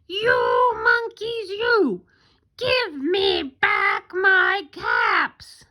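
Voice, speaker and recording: A woman voicing an angry male peddler in a children's story, scolding in slow, drawn-out, emphatic phrases. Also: very high voice, wildly swooping pitch, vocal fry, pushed and bright, pitched above the performer's own voice, full character voice.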